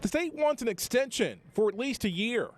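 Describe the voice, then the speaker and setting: A man's voice: very high-pitched, lively intonation, moderate pace, slight background noise. An American man narrating a scripted television news report as a reporter.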